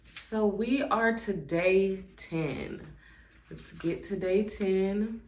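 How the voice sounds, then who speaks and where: A woman's voice: mid-range voice, drawn-out words, darkish tone, a little hiss or hum. A woman in her thirties with four kids, talking in a casual, reflective way as she reads from a workbook and muses between passages. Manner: conversational, reflective, many "um" and "like" asides. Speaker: channel host. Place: indoors at home, seated. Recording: echoey room.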